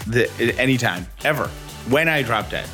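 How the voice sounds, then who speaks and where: A man's voice: medium pitch, animated, normal speed, pushed and bright, soft background music. A man chatting casually as a podcast co-host, with a wry, joking way of talking. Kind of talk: casual chat.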